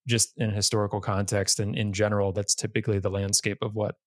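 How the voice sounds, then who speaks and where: A man's voice: lowish voice, flat delivery, fast talker, no echo, clean studio sound. A man hosting a podcast, talking casually and rambling, leaning heavily on "like" and restarting his sentences. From United States, Massachusetts area. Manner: rambling, heavy use of "like", restarts. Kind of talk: casual interview conversation.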